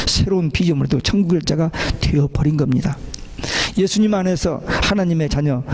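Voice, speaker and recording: A man's voice: fairly high pitch, creaky, darkish tone. A man preaching a church sermon as a pastor, exhorting listeners and putting questions to them.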